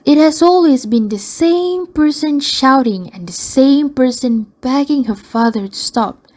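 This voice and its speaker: A woman narrator tells a scripted radio drama. Her voice is fairly high and her delivery is markedly slow. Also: lively intonation, a little mellow.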